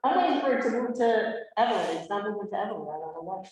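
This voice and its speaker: A woman's voice: medium pitch, expressive, very fast, darkish tone, slight background noise. Hesitant woman, far off in an echoey room. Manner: hesitant, with restarts, then explaining the setup. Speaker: library board member. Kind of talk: casual chat before a board meeting. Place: meeting room with a video-call setup. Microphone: room microphone, from far off.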